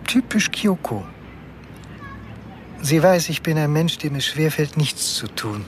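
An actor playing a weary, self-deprecating office worker in his fifties, speaking with resigned pauses in a radio drama. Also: on the high side, expressive, slight background noise. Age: 50s. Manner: deliberate, pausing, resigned.

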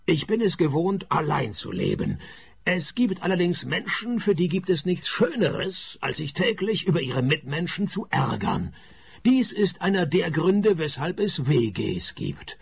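A sardonic private eye in a radio drama. His creaky voice rides up and down in pitch as he narrates with dry, weary sarcasm. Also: sing-song, unhurried, full character voice. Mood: wry, weary. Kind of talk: radio play narration and dialogue.

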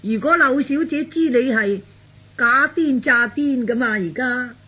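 A man's voice: very high-pitched, measured pace, pushed and bright, full character voice. A man playing an exasperated older father who chides his son knowingly in a radio comedy. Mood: chiding. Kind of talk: Cantonese radio comedy acting.

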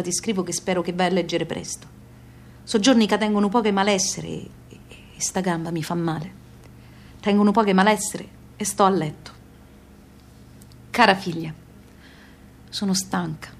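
Older ailing mother, raspy mid-pitched voice, southern Italian accent, homely, affectionate, repetitive, radio theatre.

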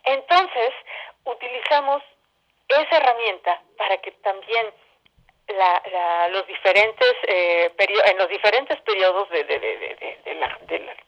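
A woman's voice: medium pitch, lively intonation, unhurried. A Mexican woman speaking as an interview guest, an arts educator explaining her work conversationally and building her points through lists and repetition. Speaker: guest, arts educator and theatre company director. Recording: muffled, band-limited phone call.

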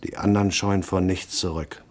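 A hardboiled private eye from a crime radio drama. His voice is low, and he talks in terse, dry, unimpressed lines, as narrator of his own case and as tough questioner. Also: fairly even intonation, normal speed. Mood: grim, guarded. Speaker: actor narrating and playing the lead.

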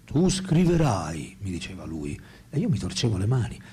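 Animated, mellow-voiced ironic philosopher. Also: male voice, lowish voice, normal speed, a little hiss or hum. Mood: ironic, confiding. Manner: theatrical, reflective storytelling. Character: male French philosopher and writer, ironic, reflective, self-deprecating. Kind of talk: radio play dialogue and monologue.

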